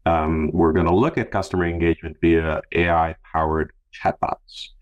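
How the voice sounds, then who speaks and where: A man's voice: low voice, level tone, normal speed, muffled recording. A man hosting an interview podcast in a conversational style. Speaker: podcast host.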